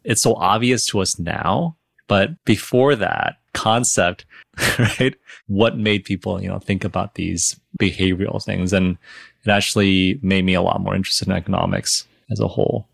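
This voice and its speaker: A man's voice: sits low, fairly even intonation, moderate pace, gravelly, husky, no room sound, studio quality. A man hosting a podcast, asking questions with enthusiasm. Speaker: podcast host. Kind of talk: podcast interview.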